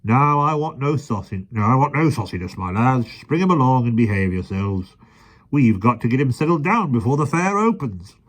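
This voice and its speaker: A man reading a storybook aloud voices a red-faced, scheming showman with a raucous, loud and pushy manner. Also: medium pitch, animated, moderate pace, voice pushed up, lightly acted.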